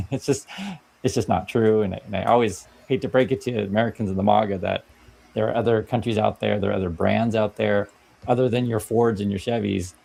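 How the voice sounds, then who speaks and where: A man's voice: fairly low pitch, vocal fry, mellow. A man from Vietnam giving casual explainer commentary, talking conversationally and often tagging "right?" onto his points. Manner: conversational, keeps asking "right?". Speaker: commentator on a played video clip.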